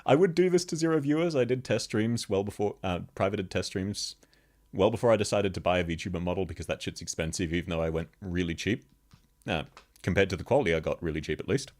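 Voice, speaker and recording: A man with a very deep bass voice, chatting casually with viewers on a live stream and laughing often. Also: fast talker. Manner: laughs constantly, chatty, answers chat questions. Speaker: VTuber streamer. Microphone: streaming microphone, level shifts as he moves.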